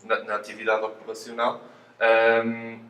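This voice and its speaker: Male physiotherapist interviewee, European Portuguese accent, fairly low voice, halting explanations, recorded at some distance from the mic. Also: monotone, a bit bright, faint music behind. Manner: hesitant, halting, explanatory delivery with repeated words. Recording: roomy echo, distant mic, faint noise.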